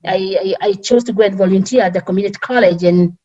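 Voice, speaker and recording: A middle-aged woman from Kenya with a strong Kenyan accent, speaking earnestly as a guest on a community talk panel, often pausing and restarting. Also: medium pitch, dark tone, lo-fi sound.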